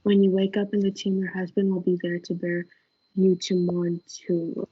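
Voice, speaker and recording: A young woman playing a calm, scheming friar who acts as confidant, in a stage-play reading. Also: lowish voice, moderate pace, husky, dull audio. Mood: secretive, instructing.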